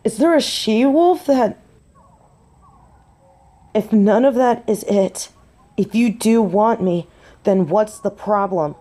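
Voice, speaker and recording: A woman's voice: medium pitch, warm tone. A woman playing a tender, nervous young biker girlfriend in an intimate roleplay audio drama, speaking hesitantly and breathily. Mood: anxious, pleading. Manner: hesitant, breathy, halting delivery with whispers.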